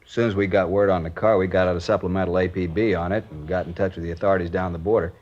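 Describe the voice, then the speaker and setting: A low-voiced American detective, played by a male actor in a 1950s radio drama. He is methodical and plainspoken, briskly clipping his sentences on a dull, aged recording. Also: steady tone, a little mellow, lightly acted. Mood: measured, serious. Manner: clipped, matter-of-fact, drops subjects from sentences.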